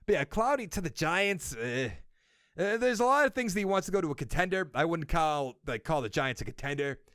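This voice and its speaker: Young man, Boston accent, high voice, casual sports podcast host, thinks aloud and corrects himself, dry sound. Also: animated, a little fast, fairly bright tone, no echo.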